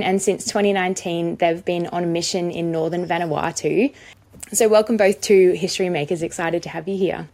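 A woman's voice: lowish voice, a little mellow. A woman guest-hosting an interview show, asking guests questions. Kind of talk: warm-up questions in a faith interview.

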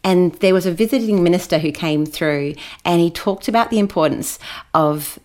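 A woman's voice: on the low side, normal speed, a little mellow. A woman, born in New Zealand, chatting as an interview guest and laughing often. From New Zealand, raised in Australia. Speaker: guest, children's Bible story host. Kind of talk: casual interview chat.

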